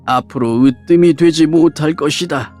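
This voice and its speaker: A man playing an aged, dying patriarch who speaks solemnly and deliberately to his sons in a dramatized scripture audiobook. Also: on the high side, a little mellow, faint music behind, natural voice. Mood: stern, solemn.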